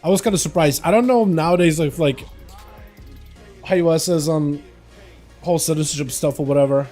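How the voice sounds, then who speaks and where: A man's voice: a little high, fairly quick, dark tone, faint music behind. A young man with a slight Russian accent, a streamer chatting casually while gaming, rambling and filling his talk with "like". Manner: rambling, chatty, answers chat questions, frequent "like" and laughs.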